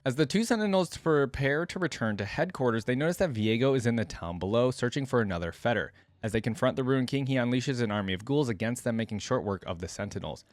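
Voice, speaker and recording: A man's voice: medium pitch, no room sound. A man hosting a reaction video, reading aloud and adding informal, think-aloud commentary. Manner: informal, thinking aloud between read passages.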